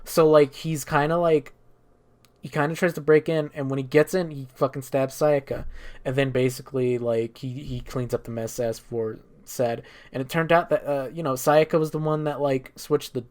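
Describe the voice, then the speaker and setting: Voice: mid-range voice, steady tone, normal speed, darkish tone. A speaker giving a casual, improvised story recap as a gaming streamer, rambling and irreverent with plenty of swearing.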